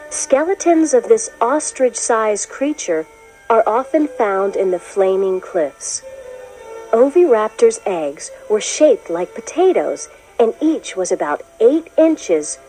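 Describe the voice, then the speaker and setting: A woman narrates a children's educational read-along over music. Her mid-pitched voice moves in lively swings, with the clear, expressive delivery of someone telling a story from a science book. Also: low-quality recording.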